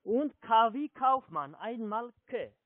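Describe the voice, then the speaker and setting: Very high-voiced foreign-accented man on phone. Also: expressive, takes it slowly, no echo. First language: not German. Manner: insistent, repetitive. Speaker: call centre phone scammer. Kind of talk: phone instructions, spelling out letters. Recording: tinny phone line.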